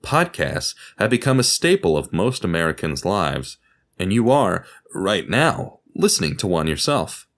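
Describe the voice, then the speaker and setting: A man narrating a scripted documentary podcast, telling it like a story with deliberate dramatic emphasis. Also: low-pitched, sing-song, fairly bright tone.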